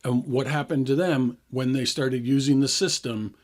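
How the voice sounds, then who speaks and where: A man's voice: mid-range voice, steady tone, normal speed. A man in his sixties hosting a health radio show, explaining things in a chatty, easygoing way and laughing now and then. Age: 60s. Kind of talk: casual radio talk.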